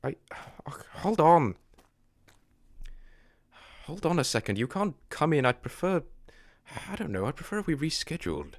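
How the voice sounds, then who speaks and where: Bloke acting irritable suspicious homeowner in his 30s, audio drama, lively up and down pitch, dry sound, defensive. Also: moderate pace, pauses often, no room sound. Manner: defensive, questioning, clipped. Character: suspicious, irritable, guarded homeowner, man of thirty-seven.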